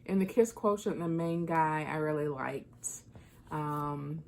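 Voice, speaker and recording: Low-pitched woman chatting casually about books. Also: slow, creaky, darkish tone. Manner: informal, conversational, thinking aloud. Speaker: book reviewer. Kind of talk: casual book chat vlog.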